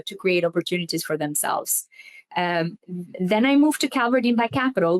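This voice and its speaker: A woman from Albania, a foundation investment head, answering interview questions thoughtfully with frequent ums. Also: on the low side. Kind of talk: interview guest.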